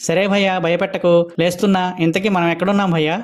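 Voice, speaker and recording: Man voicing a high, fast-talking cheeky drunkard. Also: level tone, soft background music, natural voice. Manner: slangy, complaining, bragging. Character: cheeky good-for-nothing Telugu drunkard, boastful and grumbling. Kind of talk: comic cartoon dialogue.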